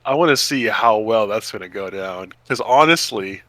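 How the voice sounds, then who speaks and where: A man's voice: on the low side, expressive, moderate pace, fairly bright tone, no room sound. A man co-hosting a podcast in casual chat, reacting and interjecting with short exclamations. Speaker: podcast co-host.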